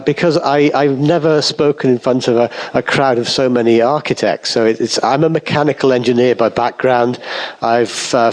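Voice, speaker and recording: A middle-aged man from the UK with a British accent, giving a conference lecture in a hall and often pausing with fillers and repeated words. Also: medium pitch, darkish tone. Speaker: guest lecturer. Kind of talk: conference talk.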